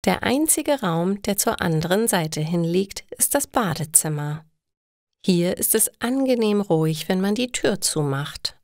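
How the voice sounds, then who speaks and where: A woman narrates a simple story for language learners. Her voice sits slightly low and moves expressively in pitch. She goes very slowly and deliberately, as a careful storyteller would. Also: expressive, darkish tone, no echo, studio quality. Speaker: narrator of German listening lessons.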